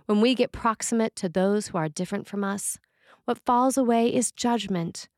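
A woman priest reading a written sermon aloud in a measured, earnest way. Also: mid-range voice, darkish tone, no room sound, studio quality. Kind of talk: read sermon.

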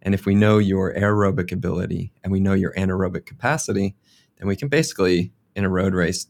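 An American man in his thirties, a sports scientist answering interview questions in a relaxed, casual way with frequent fillers. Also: low voice, quick pace, vocal fry. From United States. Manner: casual, uses many fillers like "like" and "kind of".

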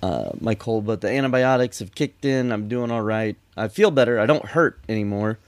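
A man with a nasal voice, hoarse and congested from a cold, co-hosting a casual podcast with brief, easygoing replies. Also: lowish voice, normal speed. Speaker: podcast co-host.

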